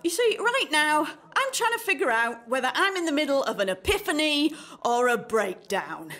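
A woman's voice: high-pitched, big pitch swings, normal speed, a bit bright. An actress in a radio play playing a jaded, anxious single woman in her thirties, sarcastic, dramatic and emphatic. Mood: frank, overwrought. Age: 30s. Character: jaded, anxious 35-year-old single woman unravelling at speed dating.

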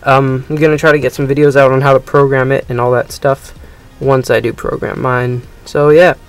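A man's voice: mid-range voice, little pitch movement, moderate pace, smooth, soft background music. Rambling young man unboxing gadgets. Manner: rambling, hesitant, many ums. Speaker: unboxing video host. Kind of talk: casual unboxing narration. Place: indoors at a table.

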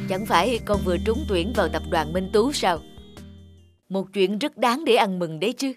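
A woman acts out a repentant older father in a cartoon drama. The voice is gentle and earnest, softly pleading for acceptance over prominent music. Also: mid-range voice, animated, normal speed, lightly acted. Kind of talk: animated drama dub.

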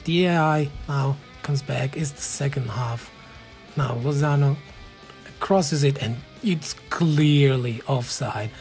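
A man in his thirties with an Italian accent, narrating his own football game footage in a calm, matter-of-fact play-by-play. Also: medium pitch, slow, soft background music. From Italy. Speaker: gaming channel host. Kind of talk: game commentary voice-over.

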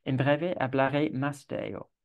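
A man moderating an online consultation session over a video call, speaking haltingly with frequent restarts. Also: medium pitch, level tone, dull audio.

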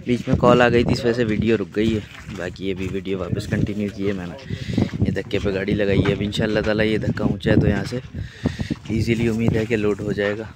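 A man with a Pakistani Urdu accent talks straight to his viewers in an easy, rambling vlog manner, full of fillers. His voice sits low and stays flat. He is recorded on a phone outdoors at a cattle farm, with heavy background noise of animals and vehicles. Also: brisk. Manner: chatty, addresses viewers directly, many fillers. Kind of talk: casual vlog narration.

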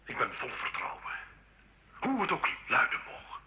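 A man's voice: medium pitch, huge pitch swings, measured pace. An actor playing a tormented, murderous French nobleman confessing in an old radio drama, theatrical and impassioned. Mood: humble, resigned. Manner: impassioned, anguished, dramatic swings from whisper to cry. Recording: muffled old radio recording, faint noise.

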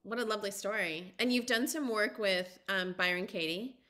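A woman's voice: mid-range voice, normal speed, pushed and bright. A woman hosting a podcast over a video call, friendly and chatty. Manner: friendly, chatty, laughs easily. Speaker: podcast host. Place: home room.

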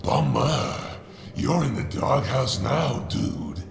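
An actor playing a hulking alien-bonded villain, growling and childishly excitable, for a video game cutscene. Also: male voice, mid-range voice, exaggerated voice. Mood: sheepish. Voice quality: gravelly, growling. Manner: drawn-out, childish, theatrical. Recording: echoey, clearly noisy game audio.

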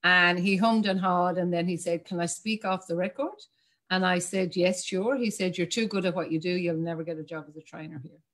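A woman's voice: on the low side, steady tone, fairly quick, fairly bright tone. A woman in her forties with an Irish accent, telling her story as a guest on a video call, chatty and laughing often. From Ireland. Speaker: guest, communication specialist. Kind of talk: casual video-call interview. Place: home room.

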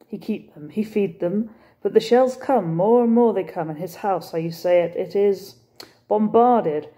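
A woman's voice: medium pitch, a little slow, mellow, lightly acted. A woman voicing a weary, sorrowful French cafe owner who speaks broken English with a French accent. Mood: mournful. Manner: broken English, mournful, emphatic. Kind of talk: storybook character voice.

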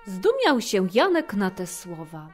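A woman narrating a fairy tale in verse, with an expressive, measured storytelling delivery. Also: medium pitch, soft background music.

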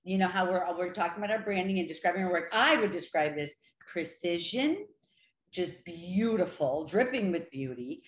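A woman's voice: on the low side, lively intonation, normal speed. A middle-aged woman hosting a casual video call interview, talking with gushing enthusiasm. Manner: enthusiastic, gushing, gestures with hands. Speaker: interviewer and host. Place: living room at home, indoors. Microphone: laptop webcam video call. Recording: echoey room, faint background noise.

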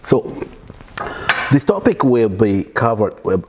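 A middle-aged man from East Africa giving an informal wellness lecture at home, halting, with many restarts and fillers. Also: medium pitch, big pitch swings, noisy background. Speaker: wellness presenter. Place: living room at home, indoors.

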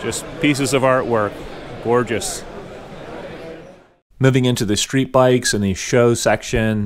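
A middle-aged man with a somewhat low voice talks through a voice-over in an easy, conversational manner. He sounds keen and relaxed, like a hobbyist host narrating his own video. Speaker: motorcycle channel host. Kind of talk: voice-over narration of a show walkthrough.

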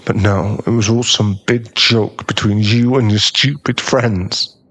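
A man playing a bitter, heartbroken young school bully in an audio roleplay, scornful and aggrieved, with a gruff protective streak. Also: fairly low pitch, steady tone, unhurried, fairly bright tone, slight background noise. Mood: bitter, angry. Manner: aggrieved, swearing, scornful, dropping into breathy muttering. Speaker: ASMR roleplay voice actor. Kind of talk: ASMR boyfriend audio roleplay.

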